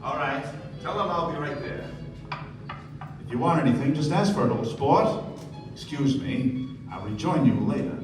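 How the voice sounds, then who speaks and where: A man's voice: mid-range voice, expressive, normal speed, long pauses, natural voice. A man playing a suave, courteous, wealthy American host with genteel phrasing in a stage radio play. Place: theater stage before an audience. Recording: echoey stage, distant mic, noisy room.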